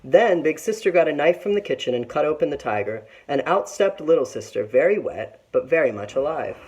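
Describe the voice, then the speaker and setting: A woman teacher reading a children's story aloud in an expressive narrating style. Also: low voice, a little fast, slight background noise, poor audio.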